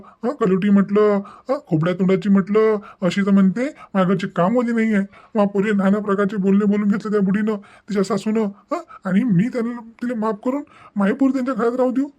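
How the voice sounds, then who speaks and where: A man voicing an angry, wounded middle-aged father in an animated family drama, emphatic and repetitive. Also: sits high, natural voice.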